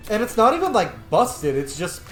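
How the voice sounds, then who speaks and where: Excitable gamer guy commentary, swears, playful, roomy sound w game music behind. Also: high-pitched, normal speed. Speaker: gaming video host. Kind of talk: casual playthrough commentary.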